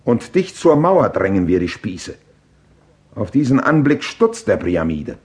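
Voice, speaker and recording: An actor playing a proud Greek king and war leader who recounts battle in classical verse drama, declaimed with deliberate, rhetorical phrasing. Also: on the low side, very animated, a little mellow. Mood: impassioned, rhetorical.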